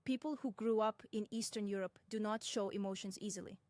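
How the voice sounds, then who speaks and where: A young woman from Russia, narrating her own experience in a measured, deliberate way with frank asides. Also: medium pitch, fairly even intonation, a little mellow, no echo. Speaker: personal commentator. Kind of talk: first-person reflective narration.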